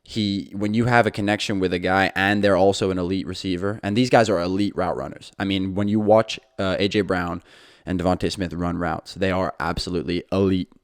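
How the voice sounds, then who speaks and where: Solo podcast host, young male, low monotone delivery, easygoing and rambling. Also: brisk, clean tone, no room sound, clean studio sound. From England. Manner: easygoing, rambling, with many fillers and restarts. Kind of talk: casual solo podcast talk.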